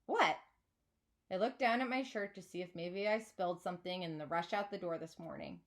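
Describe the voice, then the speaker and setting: A woman reading a children's novel aloud like a teacher, with expressive, dramatised narration. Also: on the low side, brisk.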